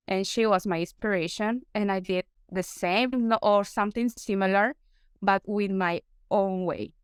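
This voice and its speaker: Peruvian woman guest, halting second-language English, smooth and clear, dry close sound. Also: medium pitch, no echo. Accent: Peruvian Spanish. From Peru. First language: Spanish. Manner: halting, searching for English words. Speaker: guest consultant, periodontist. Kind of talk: interview answers.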